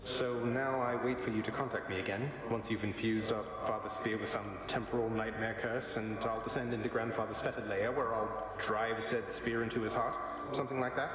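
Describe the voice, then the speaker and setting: A dry, wry young underworld prince, his lowish voice drenched in echo. It rings with long, dreamlike reverb, as in a mythic game. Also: fairly even intonation, fairly quick, few pauses. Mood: dry, musing. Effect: heavy dreamlike echo and reverb. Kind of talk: scripted game acting. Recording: very echoey, long hall reverb.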